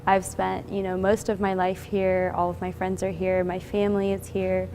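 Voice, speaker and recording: A young woman from India, a university student giving a sincere, steady personal statement. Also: mid-range voice, normal speed, a little hiss or hum. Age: young adult.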